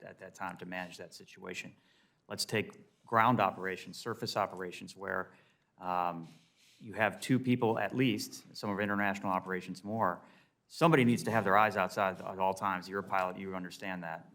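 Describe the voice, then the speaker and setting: A man's voice: low voice, fairly bright tone. An American man, an airline pilot, giving unscripted, emphatic and explanatory testimony at a hearing.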